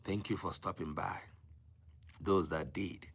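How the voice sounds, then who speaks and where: A man with a Nigerian accent and Igbo as his native language, speaking as a teacher exhorting live-stream viewers in an emphatic, preaching way with repeated phrases and deliberate pauses. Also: sits low, fairly even intonation, creaky, slightly rough. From Africa.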